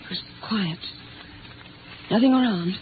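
An actress playing a scared young nightclub singer in a 1950s radio drama, halting and anxious, repeating herself, on a scratchy old recording. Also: female voice, mid-range voice, narrow, tinny audio, natural voice. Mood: haunted, tense. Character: frightened young female nightclub singer, panicky and pleading.

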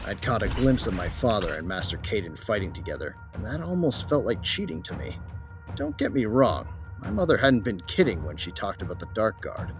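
A man playing a cocky, battle-loving soldier who narrates a space battle in colloquial, irreverent first person. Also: on the low side. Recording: music behind the voice.

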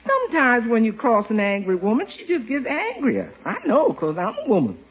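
A plain-spoken woman housekeeper in old radio comedy. She is folksy and frank, with a lively, swinging delivery. The recording is muffled and vintage. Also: a little high, fairly quick. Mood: frank, concerned. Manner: folksy, deferential but frank. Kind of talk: 1950s radio situation comedy acting.

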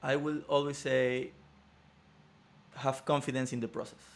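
A man's voice: medium pitch, little pitch movement, a little slow. A man in his thirties whose first language is Spanish, answering as a podcast guest in short, simple phrases. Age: 30s. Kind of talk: casual interview chat.

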